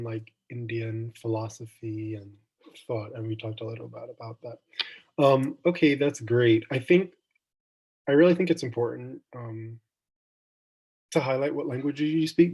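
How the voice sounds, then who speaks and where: A man's voice: mid-range voice, mellow, slightly rough. A young man from Jamaica, hosting a casual online student discussion, thoughtful and meandering with frequent ums and restarts. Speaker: student event host.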